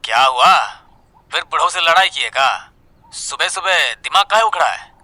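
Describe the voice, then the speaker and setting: An actor playing a brisk, chummy young friend in an audio drama, with a familiar manner. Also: male voice, on the high side, lively intonation, pushed and bright, dry recording. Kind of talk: Hindi audio drama acting.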